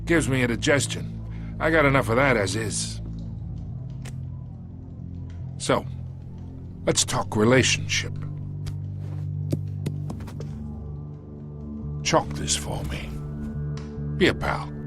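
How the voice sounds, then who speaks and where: A man's voice: mid-range voice, animated, measured pace, pauses often, over music. An actor playing a menacing, smug older American crime boss who taunts in folksy tough-guy slang, in a video game.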